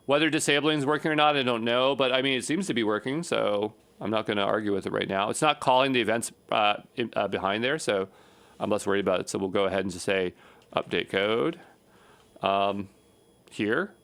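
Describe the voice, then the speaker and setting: A man's voice: lowish voice, brisk, fairly bright tone, clean tone. A man giving an unscripted screencast programming tutorial, thinking aloud in a casual, rambling way and often checking himself with a questioning tag. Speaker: programming instructor.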